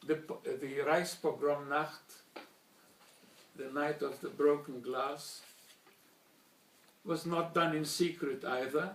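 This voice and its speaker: An older man, a native German speaker from Slovakia, giving a measured formal talk with pauses and restarts. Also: fairly high pitch, fairly even intonation, echoey room, recorded from a distance, slight background noise, poor audio. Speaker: speaker recounting childhood memories.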